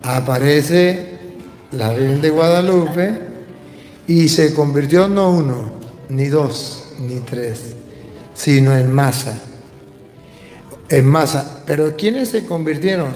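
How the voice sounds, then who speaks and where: Slow, echoey Venezuelan priest preaching. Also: male voice, fairly high pitch, animated, a little mellow. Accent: Venezuelan Spanish. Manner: didactic, emphatic, repeats phrases for stress. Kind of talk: religious teaching, question and answer talk. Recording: echoey hall with long reverb.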